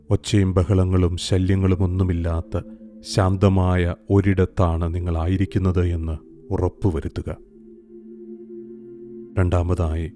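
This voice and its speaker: A man guiding a meditation, giving soft, calm instructions with long pauses. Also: deep voice. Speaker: meditation guide. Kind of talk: guided meditation narration.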